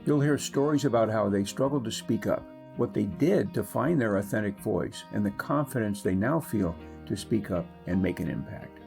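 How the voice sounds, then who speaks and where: A man's voice: lowish voice, moderate pace, gravelly, few pauses, a little mellow. A man reading a scripted podcast introduction as announcer. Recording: guitar music underneath.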